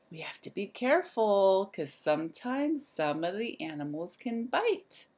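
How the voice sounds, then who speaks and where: A woman in her thirties reads a children's picture book aloud. Her mid-pitched voice moves very freely up and down, sing-song and expressive. She takes her time and talks directly to young listeners as a teacher would. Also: a little slow, husky.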